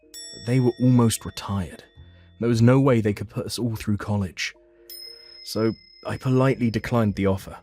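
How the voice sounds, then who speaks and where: A man with a fairly low voice narrates a first-person story in dramatized manga dub style. Soft background music plays behind him. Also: fairly even intonation, normal speed.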